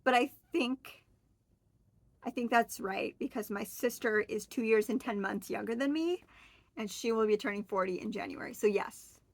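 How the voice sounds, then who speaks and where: Chatty higher-voiced woman in her forties. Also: normal speed, gravelly, dry recording. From Oregon, US. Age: 40s. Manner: chatty, rambling, friendly, with fillers and self-corrections. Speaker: vlogger. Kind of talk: casual solo chat to camera.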